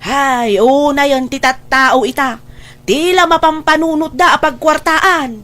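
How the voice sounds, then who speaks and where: A cautious village auntie acted by a woman with a very high voice. She talks in an Ilocano accent, hesitant and plaintive, in dialogue from an audio drama. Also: measured pace, fairly bright tone. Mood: concerned.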